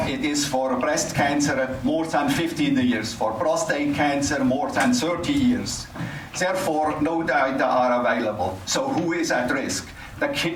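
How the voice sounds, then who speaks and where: An older man giving a formal, scholarly prepared address at a public meeting, speaking haltingly. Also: medium pitch. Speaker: resident speaking at public comment, cancer researcher. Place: community center meeting hall. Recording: roomy, distant mic, faint noise.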